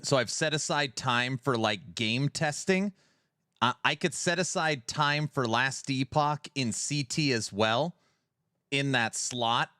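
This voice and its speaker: A forty-year-old man hosts a casual live stream, chatting and reading out viewer messages. His voice is bright and crisp. It sounds dry and close. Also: medium pitch, slow pace, no room sound. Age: 40s. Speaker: streamer host.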